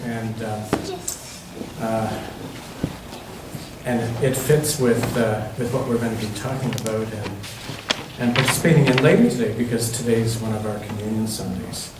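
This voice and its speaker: Echoey man reading to children, distant mic. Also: mid-range voice. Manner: pauses to ask the listening children questions. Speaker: church children's story reader. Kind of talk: reading a picture book aloud to children. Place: large echoey church interior. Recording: very echoey church room, distant mic, faint noise.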